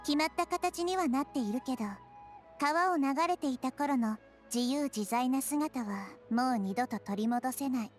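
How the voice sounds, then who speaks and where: Actress as gentle wise girl deity, high voice, quick delivery, poised, anime game acting. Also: faint music behind, lightly acted. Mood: thoughtful. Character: gentle, wise girl deity speaking thoughtfully with her people.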